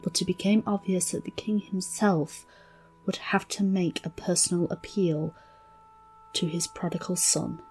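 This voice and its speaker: A woman tells a story in a somewhat low voice. Her delivery is slow, solemn and dramatic, every phrase measured. Soft instrumental music plays behind her. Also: steady tone, rough recording. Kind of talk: dramatic scripted story narration.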